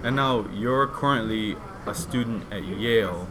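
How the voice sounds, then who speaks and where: A man's voice: on the low side, unhurried, crowd noise. Rambling, curious male host. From immigrant. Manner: rambling, curious, restarts questions. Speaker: podcast host, painter. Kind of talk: casual interview.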